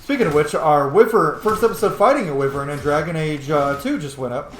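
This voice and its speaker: A man giving casual, informal gameplay commentary to his viewers, excitable and prone to swearing. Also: on the high side, brisk. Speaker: let's play host. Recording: roomy echo, faint background noise.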